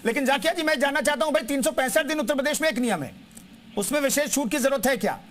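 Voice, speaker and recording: A man moderates a live television news debate in a strikingly high voice. He is insistent and interjects often, calling on panelists by name as he presses them with questions. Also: quick pace, fairly bright tone. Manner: insistent, interjecting, repeats guests' names. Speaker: debate show host.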